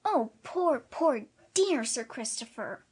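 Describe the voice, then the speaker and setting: A woman voicing a tender-hearted little girl with a breathy voice, earnest and emotional, in a dramatic audiobook. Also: high voice, animated, slow, full character voice. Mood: pitying.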